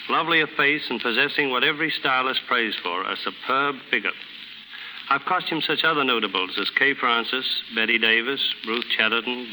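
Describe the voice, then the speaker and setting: A man giving a formal, scripted guest talk on 1930s radio. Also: medium pitch. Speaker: guest stylist. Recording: noisy 1930s radio recording.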